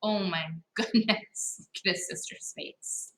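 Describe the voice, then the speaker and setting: A woman's voice: medium pitch, takes it slowly, a bit bright. A woman reading a children's story aloud in a dramatic, playful way, with chatty asides to her listeners. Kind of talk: expressive picture-book reading with chatty asides. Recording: roomy, echoing sound.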